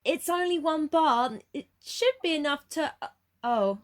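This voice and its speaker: Woman as cheeky young student, high voice, lively pitch, sceptical, amateur radio drama. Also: a little slow. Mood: matter-of-fact. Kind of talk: amateur radio play acting.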